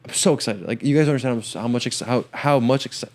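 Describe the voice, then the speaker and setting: A man's voice: mid-range voice, quick pace, a little mellow. A young man hosting a solo podcast, talking casually and enthusiastically with lots of slang fillers and a slight stutter. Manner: enthusiastic, filler-heavy slang with frequent repeats. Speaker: podcast host.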